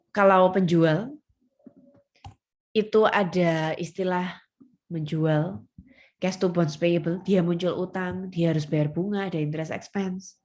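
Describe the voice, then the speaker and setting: Low-pitched woman lecturer. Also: darkish tone. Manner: instructive, emphatic on key terms, asks and answers own questions. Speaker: accounting lecturer. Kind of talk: step-by-step lecture to students.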